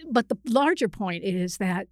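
A woman's voice: mid-range voice, expressive, a little slow, no room sound. Hesitant, reflective mature woman, dry close sound. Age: middle-aged or older. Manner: hesitant, hedging, self-correcting. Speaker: psychiatrist and author, interview guest. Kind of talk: reflective conversational interview.

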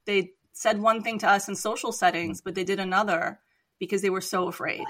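An Iranian-born woman physician, a guest on a podcast, speaking earnestly and in measured phrases. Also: mid-range voice, steady tone, a bit bright. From Iran. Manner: earnest, measured, with light laughs. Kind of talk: reflective interview talk.

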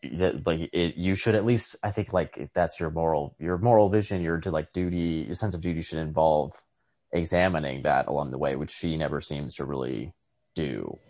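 A man with a deep voice chats about short stories as a podcast co-host. He feels his way through each thought, tentative and often rewording himself midway. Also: a little mellow. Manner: halting, tentative, self-correcting. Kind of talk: casual literary discussion.

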